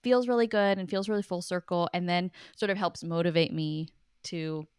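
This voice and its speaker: A woman speaking as a guest author in a casual, conversational interview, telling stories in an informal, animated way. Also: lowish voice, normal speed, smooth, dry recording, clean studio sound.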